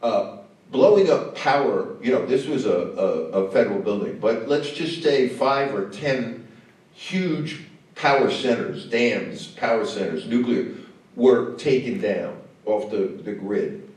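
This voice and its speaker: A man, a film director, answering questions on stage after a screening, talking in a halting way with many fillers and restarts. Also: mid-range voice, a little mellow. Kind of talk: on-stage conversation. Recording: echoey hall, mic far off.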